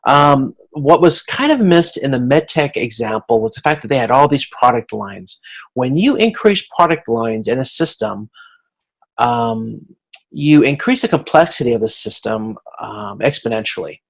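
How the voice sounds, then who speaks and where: Male college instructor, mid-range voice, explaining material on a video conference, measured and a little halting, semi-improvised. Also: medium pitch, animated, gravelly.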